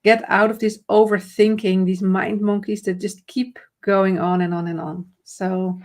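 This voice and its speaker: A woman's voice: medium pitch, level tone, a little slow, a little mellow. A woman with a Dutch accent, a confidence trainer and host, giving friendly coaching tips in a live conversation, explaining with many fillers and restarts. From Netherlands.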